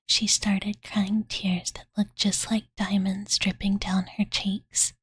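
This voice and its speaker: A woman voicing a cute, devoted young dragon girl who softly tells her master a bedtime story in a cozy ASMR roleplay, with cutesy, filler-heavy speech. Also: medium pitch, no echo. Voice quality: soft breathy whisper. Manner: valley-girl filler-laden, soothing, cutesy third-person speech. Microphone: close-up studio condenser microphone, dry.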